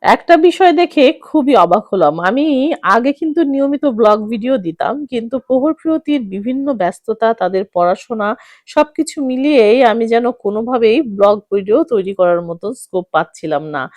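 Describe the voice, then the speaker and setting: A woman's voice: fairly high pitch, animated, moderate pace, darkish tone, dry recording. A woman in her thirties with a Bangladeshi accent, narrating her daily family life in a chatty voice-over that speaks straight to viewers. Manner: chatty, addresses viewers directly. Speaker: family vlogger.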